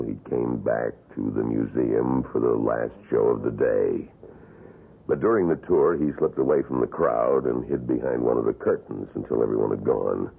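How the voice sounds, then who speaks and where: A man's voice: rumbling low, vocal fry, husky, exaggerated voice. An actor playing a wax-museum tour guide with a showman's patter, in a 1970s radio drama heard over a tinny old broadcast. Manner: showman patter on tour, confiding when retelling.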